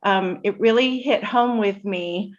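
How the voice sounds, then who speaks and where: A woman's voice: medium pitch, takes it slowly. Slightly muffled middle-aged woman, informal guest speaker. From Hawaii. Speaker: invited speaker. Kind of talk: informal personal talk at community event.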